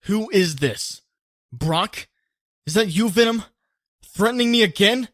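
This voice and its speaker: A man's voice: sits high, lively intonation, measured pace, a bit bright, a little hiss or hum. A man voicing an earnest young superhero who also narrates, in a fan comic dub. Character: young male web-swinging hero, married. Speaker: narrator and lead voice actor.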